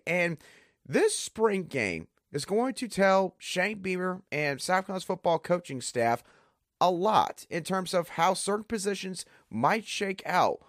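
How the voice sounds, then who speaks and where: Hoarse, animated male podcast host. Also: fairly high pitch, no echo, studio quality. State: hoarse, under the weather. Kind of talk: solo sports podcast analysis.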